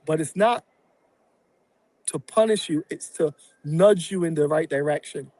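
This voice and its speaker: A man from Barbados, a guest on a podcast, talking through his plans in a reflective, halting way with many fillers. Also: a little high, darkish tone, no room sound, rough recording. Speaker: real estate investor and guest. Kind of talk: casual podcast interview.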